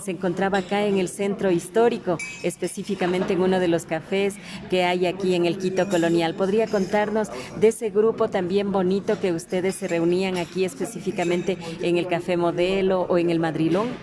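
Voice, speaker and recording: Female interviewer, highlands. Somewhat low register, courteous formal questioning, recorded amid audible crowd. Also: fairly even intonation, a little fast, few pauses. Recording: location recording with crowd murmur.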